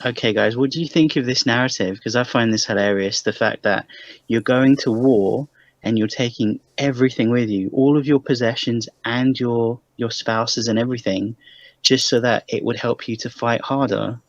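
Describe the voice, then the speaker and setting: A man's voice: medium pitch, steady tone, normal speed, dry recording. A man hosting a casual critical discussion in an incredulous, mocking manner. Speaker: panel host. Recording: dull, muffled close recording.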